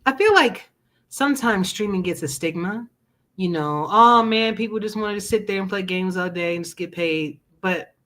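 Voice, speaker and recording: A woman's voice: medium pitch, normal speed, fairly bright tone. An American-accented woman hosting a gaming talk show, chatting informally and affirmingly with a guest. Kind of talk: casual interview chat.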